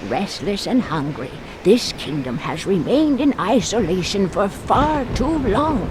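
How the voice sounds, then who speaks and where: A narrator reading a scripted fantasy game story in a dramatic, deliberate storytelling manner. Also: mid-range voice, very animated, background noise.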